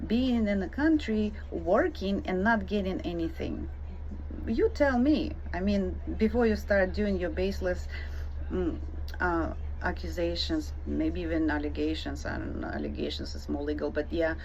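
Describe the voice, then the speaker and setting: A woman's voice: fairly low pitch, animated, normal speed, a little mellow. A middle-aged woman from Ukraine with a Ukrainian accent, speaking emphatically and indignantly straight to the viewer in a rebuttal vlog. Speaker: vlogger answering a comment. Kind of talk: direct-to-camera rebuttal. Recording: dull lo-fi audio with faint noise.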